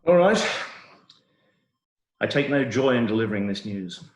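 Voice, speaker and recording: A man's voice: medium pitch, expressive, normal speed, roomy sound, lightly acted. A man playing a smug, shameless doctor with a grave, hesitant clinical manner in a comic play reading over a video call. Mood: grave, apologetic. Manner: hesitant, mock-solemn clinical delivery.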